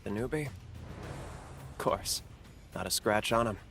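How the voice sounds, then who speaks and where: A man's voice: fairly low pitch, slow, vocal fry, fairly bright tone, full character voice. A man voicing a resolute, guarded fighter who speaks earnestly and reflectively in a game cutscene. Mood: upbeat. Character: resolute young male fighter, quietly guarded. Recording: faint music score behind the voice.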